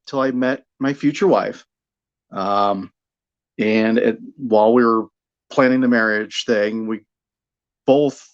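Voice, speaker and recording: Middle-aged American male, medium pitch, candid broadcaster-guest in relaxed conversation, halting and self-effacing; slightly muffled audio. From Buffalo, New York area, United States. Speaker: sports radio play-by-play broadcaster, interview guest. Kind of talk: conversational career storytelling.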